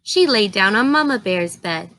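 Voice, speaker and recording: A woman storyteller reading a children's story aloud in an expressive, deliberate way. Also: medium pitch.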